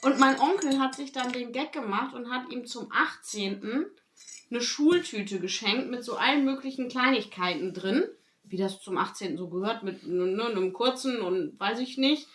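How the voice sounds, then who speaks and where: A woman's voice: a little high, normal speed, a bit bright. A middle-aged woman talking casually and nostalgically among friends on a podcast. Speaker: podcast host. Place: echoey room. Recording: echoey room sound.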